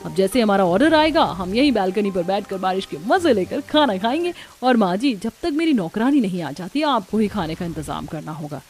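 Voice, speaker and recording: A woman's voice: mid-range voice, sing-song, a little fast, hardly any pauses, a little mellow, breathy edge, faint music behind. A woman voicing a pampered, smug, entitled young daughter-in-law in a cartoon family drama. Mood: breezy, smug.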